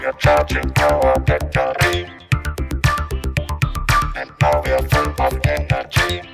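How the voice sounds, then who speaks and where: A man's voice: very deep voice, sing-song, slow pace, gravelly, exaggerated voice. A man performing as a robot through a vocoder in an electronic song. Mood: mechanical. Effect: vocoder robot processing. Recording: electronic music track behind processed voice.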